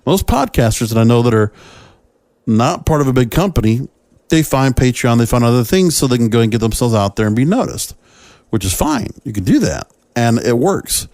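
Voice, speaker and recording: A man's voice: medium pitch, very animated, normal speed, darkish tone, no echo. A man hosting a solo podcast, giving opinionated commentary in a conversational, emphatic way and addressing listeners directly. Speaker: podcast host.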